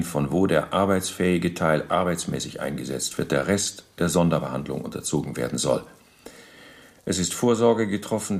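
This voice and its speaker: A man's voice: low voice, creaky, darkish tone. A male actor in a German radio play, playing an evasive, self-justifying Nazi bureaucrat under interrogation, speaking in stiff officialese. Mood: dry, official. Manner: officialese, stiff, shifting responsibility.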